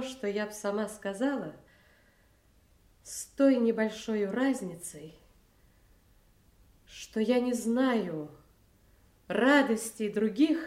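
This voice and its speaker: An actress playing a king's flattering second daughter, measured and ingratiating in a classical audio drama. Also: fairly high pitch, echoey room.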